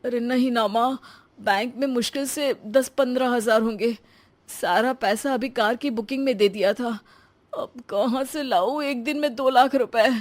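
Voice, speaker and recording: A woman's voice: a little high, moderate pace, close to an everyday voice. A woman playing a showy, free-spending husband in a story, talking emphatically. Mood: panicked. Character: showy, free-spending adult son and husband, easily flustered.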